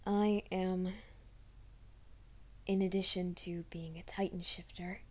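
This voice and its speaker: Hushed young werewolf man, voiced by a woman. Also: fairly low pitch, flat delivery, takes it slowly. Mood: soft reassuring. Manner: soft, hushed, often whispering. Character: gentle young male werewolf, nervous and reassuring. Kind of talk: ASMR roleplay.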